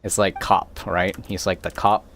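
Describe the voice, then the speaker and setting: A man streaming a game with casual commentary, halting and searching for words in a self-mocking way. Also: low voice, level tone, a bit bright. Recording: clearly noisy, game sound behind.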